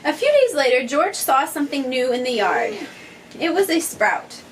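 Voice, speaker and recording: A woman's voice: sits high, very animated, normal speed. A woman, a mother, reading a children's picture book aloud and putting questions to her young listeners. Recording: noisy, distant microphone.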